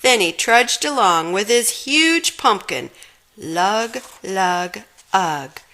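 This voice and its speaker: A woman's voice: medium pitch, lively intonation, slow, bright, forceful tone. A woman reading a children's storybook aloud expressively, giving dramatic emphasis to the sound words. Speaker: storybook reader.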